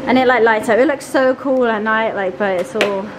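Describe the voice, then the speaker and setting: Woman, upbeat house tour chat, slightly high voice, noisy room. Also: normal speed. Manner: chatty, upbeat, laughs often. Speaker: vlogger co-hosting a house tour. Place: house, indoors. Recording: audible room noise.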